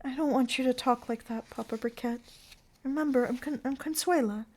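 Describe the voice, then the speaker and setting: Woman acting out a high girlish circus daughter, sweet n nervous, gushy and pleading, stage play style. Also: fairly quick, darkish tone. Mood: upset, pleading. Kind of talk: theatrical play reading.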